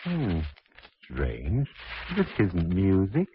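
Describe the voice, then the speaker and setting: An actor playing a fussy, pompous, arty sidekick in an old-time radio drama, with a florid way of talking. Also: male voice, low-pitched, huge pitch swings, extremely slow, full character voice. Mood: puzzled, fussy. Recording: noisy, dull vintage radio recording.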